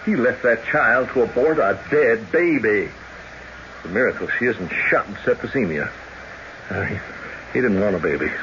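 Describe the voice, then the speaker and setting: A middle-aged country doctor in a radio western, humane and pragmatic. He speaks earnestly and haltingly in a lowish voice. The recording is dull and clearly noisy. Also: animated, fairly bright tone. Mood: anguished. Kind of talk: radio drama acting.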